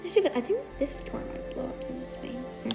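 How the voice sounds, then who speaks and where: A fairly high voice walks through a building tutorial one step at a time, counting out blocks and catching its own slips. The speaker is a bit sick today, so the voice sounds slightly off. Clear music plays underneath the whole time. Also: lively intonation, fast talker. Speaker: tutorial maker.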